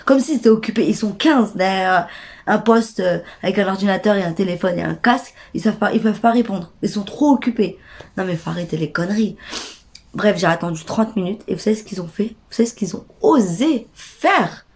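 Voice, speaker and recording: A woman's voice: mid-range voice, fairly quick. A young woman with a stuffy nose from a cold tells an indignant, slangy story straight to her viewers. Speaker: vlogger. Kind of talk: casual storytime rant.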